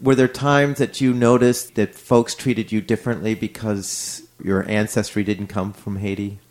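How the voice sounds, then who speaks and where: A man's voice: lowish voice, level tone, moderate pace, a little mellow. A man interviewing a guest, asking short, direct questions in a casual tone.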